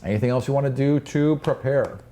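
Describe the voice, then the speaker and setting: A man's voice: medium pitch, a little fast, mellow, a little hiss or hum. A man narrating a tabletop fantasy game as game master, moving conversationally between rules talk and scene description.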